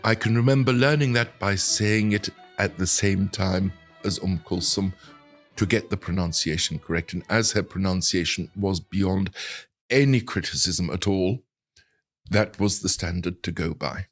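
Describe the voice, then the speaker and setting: Deep-voiced older English man, articulate. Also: faint music behind. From England. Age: older, around 70. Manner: articulate, measured storytelling with precise wording. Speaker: interview guest, translator and writer. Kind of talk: reflective anecdotal interview talk.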